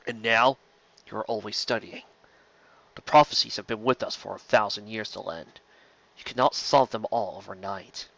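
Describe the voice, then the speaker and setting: Older alien mentor, done by a male narrator: stern, measured, authoritative, with a forceful edge, for storytelling. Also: on the low side, vocal fry, muffled recording. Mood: chiding, measured.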